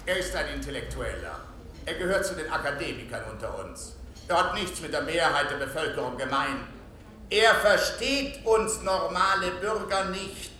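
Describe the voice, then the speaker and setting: A bombastic opposition leader in a radio play, with a high, piercing male voice. He delivers theatrical, rhetorical oratory with sly populist appeal. The sound rings with room echo over faint noise. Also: normal speed, pushed and bright. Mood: scornful, rhetorical. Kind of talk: political oration in a German radio play. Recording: echoey hall sound with slight noise.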